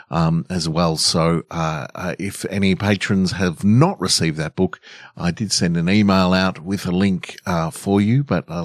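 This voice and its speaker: A middle-aged man hosting a podcast and reading stories in a measured way. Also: deep voice, dry recording, clean studio sound. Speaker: podcast host and story reader. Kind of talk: scripted story narration and casual podcast outro.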